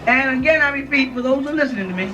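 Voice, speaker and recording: A man's voice: very high-pitched, racing, bright, forceful tone, a little hiss or hum. A man giving an emphatic, informal lecture to a live audience in a room, often checking in with his listeners. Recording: dull lo-fi audio from a distant mic.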